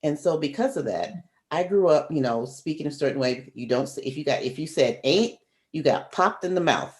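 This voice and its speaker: A woman's voice: sits low, animated, moderate pace. A woman from Chicago, a guest on a podcast, telling stories about her upbringing in careful, proper speech. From Chicago, US Midwest. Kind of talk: casual chat.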